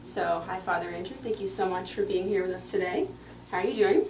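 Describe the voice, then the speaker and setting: A woman's voice: medium pitch, fairly even intonation, fairly quick. A woman interviewer asking questions in an upbeat, polite way. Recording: roomy, noisy, distant mic.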